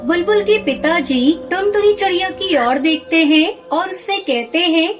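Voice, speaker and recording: A woman's voice: extremely high pitch, normal speed. A woman narrating a scripted children's cartoon story. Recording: music under the voice.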